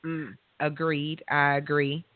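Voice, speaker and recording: A woman with a loud voice, hosting a radio talk show in a frank, self-assured way. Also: sits low, little pitch movement, slow pace, no room sound. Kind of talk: casual interview chat. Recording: dry, tinny phone-line sound.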